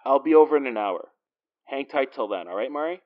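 A man's voice: medium pitch, lively intonation, fairly quick, phone-quality sound, natural voice. A man playing a confident, wisecracking police detective in an audio drama, with a dry, teasing, natural delivery.

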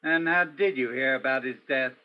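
A man playing a brooding, world-weary Broadway police detective in a radio play, with a measured, pensive, dramatic delivery. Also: mid-range voice, lightly acted. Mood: courteous, probing. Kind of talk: radio play acting with poetic noir narration. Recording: dull, muffled lo-fi audio.

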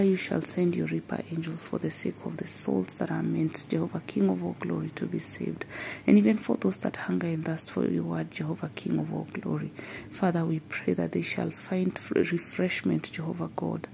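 A woman's voice: on the low side, gravelly, mellow, slight background noise, rough recording. A woman leading an intercessory prayer, praying fervently and repeating short interjections between her petitions. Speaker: prayer leader.

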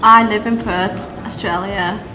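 A woman's voice: mid-range voice, steady tone, a little slow, background noise. A young woman, answering interview questions in a café in a casual, playful way. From New Zealand. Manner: chatty and playful, laughs easily. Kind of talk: casual chat. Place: bright café by large windows. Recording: music playing under the talk.

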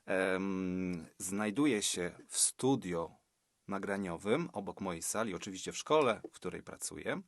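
Low-voiced man narrating expressively. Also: gravelly, fairly bright tone, no echo, studio quality. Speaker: music teacher and author reading aloud. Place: small school recording studio.

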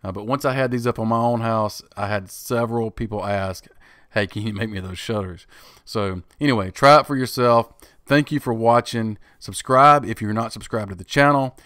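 A middle-aged man with a fairly low voice talks through a home woodworking project in a relaxed, matter-of-fact way. He sounds like a host narrating his own tutorial. The recording is close and dry, with no room sound. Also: moderate pace, dry recording. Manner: plain, easygoing step-by-step explaining. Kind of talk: DIY tutorial voice-over.

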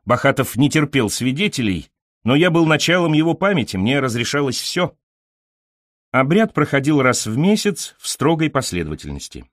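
A man narrates a literary audiobook in a mid-pitched voice. His delivery is expressive, with animated intonation, and the sound is dry and close. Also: normal speed, no echo.

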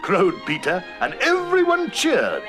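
Lively high-pitched male storybook narrator. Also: measured pace, loud music behind the voice. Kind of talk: scripted children's story narration.

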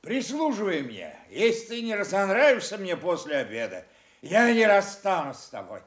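Radio drama casting: old king, high and wildly animated, commanding, quick to anger. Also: reverberant, heavily acted. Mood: questioning, commanding. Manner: commanding, abrupt, demanding. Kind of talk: theatrical radio stage drama.

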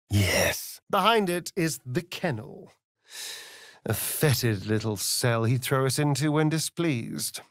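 An actor playing a vain, sardonic vampire rogue in a fantasy video game, with an arch, drawling, theatrical delivery. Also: male voice, on the high side, fairly bright tone, dry recording, studio quality, full character voice.

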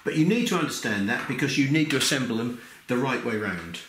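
An older man with a croaky voice from a cold, explaining a workshop build step by step, with frequent "okay" and "um". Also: mid-range voice, lively intonation, fairly quick, background noise. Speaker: maker demonstrating a build. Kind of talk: hands-on workshop tutorial. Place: workshop bench. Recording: echoey room.

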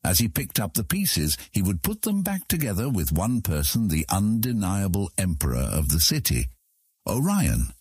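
A man with a husky voice reading scripted fantasy narration as an audiobook narrator. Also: fairly low pitch, huge pitch swings, moderate pace, darkish tone, no echo, studio quality.

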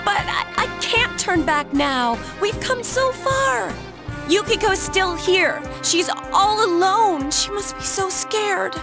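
An impulsive American schoolgirl from a video game. The voice is high and swings widely with emotion, blunt and heartfelt, over background music. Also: lively intonation, slow pace, full character voice. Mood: distressed. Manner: emotional, blunt, stammering when upset. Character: spirited teenage schoolgirl, impulsive and tomboyish.

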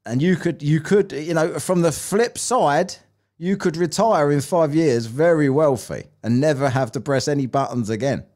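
A man's voice: on the high side, moderate pace, a little mellow. A British man in his forties chatting casually as a podcast host, rambling and sweary, close on a mic. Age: 40s. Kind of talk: casual chat. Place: small room at home. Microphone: close studio mic on an arm.

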